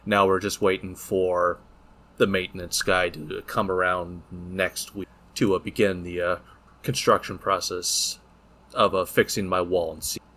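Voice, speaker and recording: A man with a fairly low voice chats casually as a podcast co-host. He talks in an informal, joking way and swears freely. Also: little pitch movement, unhurried, pushed and bright. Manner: profane, joking banter. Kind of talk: casual chat.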